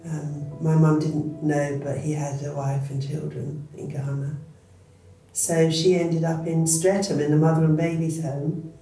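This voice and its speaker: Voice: mid-range voice, flat delivery, measured pace, mellow. A person from England telling a family story hesitantly and with emotion in a documentary interview. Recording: echoey distant mic, faint music.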